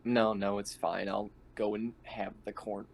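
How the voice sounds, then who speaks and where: A man's voice: fairly low pitch, little pitch movement, measured pace, creaky. A man playing an easygoing colonist with a casual, dry manner in a tabletop game. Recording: noisy online voice-call microphone.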